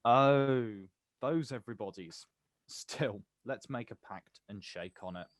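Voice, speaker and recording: A man acting a watchful, quietly wounded poet in a naturalistic stage play, speaking deliberately in terse, repeated phrases. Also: mid-range voice, dry recording, clean studio sound, close to an everyday voice. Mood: wry.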